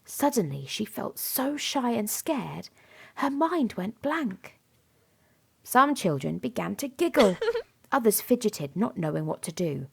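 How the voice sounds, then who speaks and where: A woman reading a children's storybook aloud in an expressive, dramatic way, softening to a hush at times. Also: medium pitch, slow, vocal fry, breathy edge. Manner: animated, dramatic delivery with softened hushed moments. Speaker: storybook reader. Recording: music behind the voice. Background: music and story sound effects.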